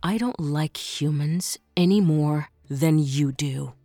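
A woman's voice: low-pitched, takes it slowly, dark tone, dry recording, soft background music, full character voice. A woman playing a bitter, wounded human outcast in a science fiction audio drama, brooding and confessional. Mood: guarded, curious.

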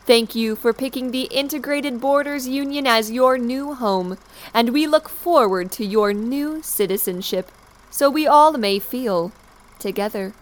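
An actress playing the composed president of a dystopian state, giving a polished, formal, measured address in an audio drama. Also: female voice, on the high side, lightly acted. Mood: official welcome. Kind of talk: formal scripted official address.

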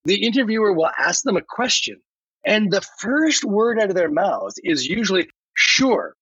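A man's voice: sits high, very animated, moderate pace, bright, forceful tone, breathy edge. A man chatting animatedly as a podcast guest, emphatic and given to acting out bits of dialogue. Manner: emphatic, acts out quoted dialogue. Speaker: podcast guest who voiced a chatbot script. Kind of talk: animated conversational discussion. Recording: roomy, slightly dull lo-fi sound.

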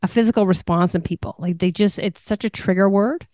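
A woman's voice: mid-range voice, fairly quick, a little mellow, no echo. A woman business coach answering interview questions in an earnest, conversational way, often repeating a word as she starts a thought.

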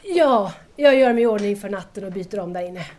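A woman's voice: medium pitch, animated, a little mellow, lightly acted. A woman playing a polite, hesitant young guest in an audio drama, speaking in a reserved, formal way. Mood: shy, polite.

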